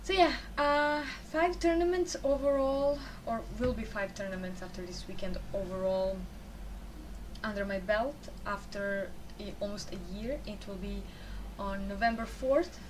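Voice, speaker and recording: A woman's voice: medium pitch, a little slow, distant mic. Young woman, Croatian accent, rambling casual talk, sits back from the mic, noisy background. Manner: rambling, self-correcting, chatty. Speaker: esports caster, interview guest. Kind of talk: casual answers to interview questions.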